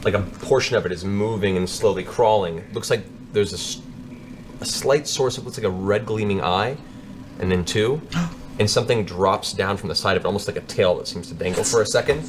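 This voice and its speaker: A man with a fairly low voice narrates a tabletop fantasy game as game master. He improvises vivid descriptions and tells them with a storyteller's sense of drama. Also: brisk, background noise.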